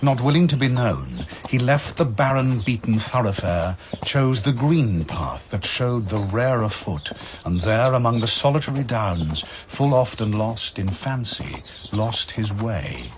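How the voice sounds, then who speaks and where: A man's voice: fairly low pitch, big pitch swings, unhurried, slight background noise. A man narrating a verse drama from a script in a solemn, theatrical manner.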